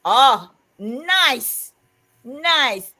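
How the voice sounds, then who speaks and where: A woman with a somewhat high voice co-hosts a casual live chat stream. She tells stories with lots of animation and laughs often. She acts out back-and-forth dialogue and reads viewer comments aloud. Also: lively intonation, extremely slow, pushed and bright.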